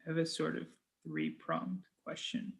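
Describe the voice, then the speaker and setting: A young man, a fellow student, asking halting questions on a video call with light laughs. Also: deep voice, sing-song, creaky.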